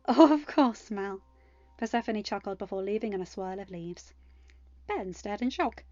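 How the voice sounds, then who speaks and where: A woman's voice: mid-range voice, normal speed, a little mellow, no room sound, lo-fi sound, soft background music. A woman reading scripted fan fiction narration as a storyteller. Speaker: podfic reader.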